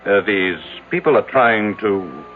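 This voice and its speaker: A clipped, imperious rich businessman in a vintage radio drama. His impatient, suspicious delivery swings widely in pitch. The sound is tinny and narrow, with faint music. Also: lively intonation, slow. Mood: agitated. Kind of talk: old-time radio drama acting.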